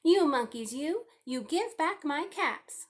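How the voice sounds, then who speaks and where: A woman's voice: high-pitched, lively intonation, takes it slowly, pitched above the performer's own voice. A woman voicing an earnest, easily exasperated male peddler in a children's storybook, calling out in a raised voice. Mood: angry, exasperated. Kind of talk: children's storybook read-aloud.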